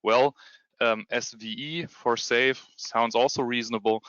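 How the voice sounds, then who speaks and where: German-accented male presenter on a video call, halting and full of fillers, bright crisp tone. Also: mid-range voice, fairly even intonation, no echo, muffled recording. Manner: hesitant, many ums and restarts. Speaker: security consultant presenting a demo. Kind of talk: webinar presentation with screen share.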